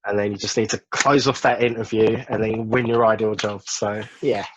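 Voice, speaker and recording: A man as a podcast guest, giving relaxed job advice with many fillers in casual chat. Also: on the low side, fairly quick, muffled recording, background noise. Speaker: guest, job search business director.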